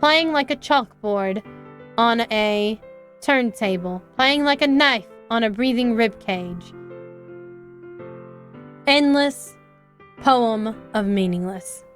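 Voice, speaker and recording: A woman voicing a confident, composed teenage club president, reading game dialogue with a smooth, persuasive manner. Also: fairly high pitch, slow, pauses often, a bit bright, soft background music. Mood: eerie. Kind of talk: reading visual novel dialogue aloud.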